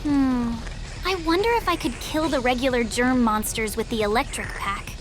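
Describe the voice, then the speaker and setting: A woman voicing an excitable, theatrical kid who battles monsters in an animated comic dub. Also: fairly high pitch, moderate pace, heavy background noise, close to an everyday voice. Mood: cocky.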